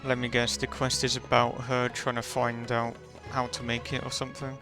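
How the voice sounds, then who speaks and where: A woman's voice: a bass voice, little pitch movement, moderate pace, music in the background. A woman streamer casually commenting and reacting as she plays a video game.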